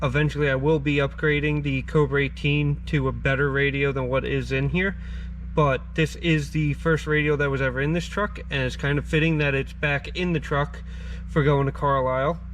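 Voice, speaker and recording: A man's voice: fairly high pitch, fairly even intonation, normal speed, a little hiss or hum. A man giving a casual, plain-spoken, step-by-step how-to as he works, as the host of a DIY garage channel. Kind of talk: casual how-to narration while working.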